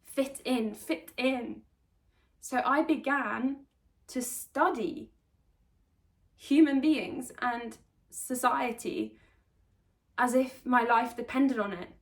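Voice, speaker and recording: A woman from Sweden telling her own life story to camera, earnest and reflective, with halting restarts. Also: a little high. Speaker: vlogger. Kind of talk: personal confessional storytelling. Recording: voice slightly distant from the mic.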